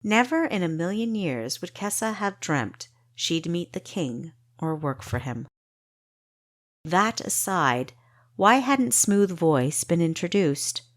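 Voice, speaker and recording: A woman's voice: on the low side, very animated, slow pace, dry recording, clean studio sound. A woman reading scripted fantasy fiction as an audiobook narrator.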